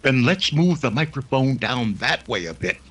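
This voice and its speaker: A man's voice: mid-range voice, animated, moderate pace, a bit bright, husky. A man playing an earnest, commanding psychic investigator who speaks formally and intensely, in a 1930s-style radio drama. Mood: intent.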